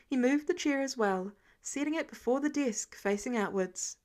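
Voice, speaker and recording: A woman reading fiction aloud in an expressive audiobook narration style. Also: high voice, normal speed. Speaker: fan fiction reader.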